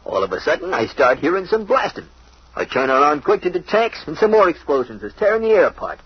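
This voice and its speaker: A man's voice: on the high side, big pitch swings, quick pace, a little hiss or hum. An actor playing a slangy, defensive crook pleading his innocence in an old-time radio crime drama. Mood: earnest, insistent. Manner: slangy tough-guy talk. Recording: dull, muffled vintage radio recording.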